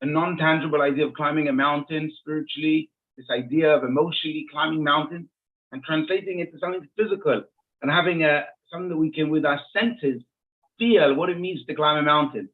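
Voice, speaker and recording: A man from Israel with a slightly high voice speaks as a rabbi being interviewed. The sound is thin and muffled, like a phone line. He tells stories in a conversational way, circling back to rephrase his points. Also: brisk. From Israel, born and raised in Jerusalem. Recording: muffled phone-like narrowband audio.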